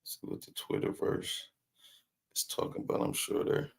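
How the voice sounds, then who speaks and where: A man hosting a casual livestream, chatting loosely and joking with viewers in the chat. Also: moderate pace. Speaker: livestream host. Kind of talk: casual live-stream commentary, reading chat.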